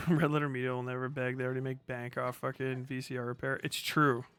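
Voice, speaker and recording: A man's voice: mid-range voice, level tone, brisk, fairly bright tone, clear, resonant tone, soft background music. A man chatting casually on a livestream as he plays a video game, rambling in slangy, profane language. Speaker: live streamer.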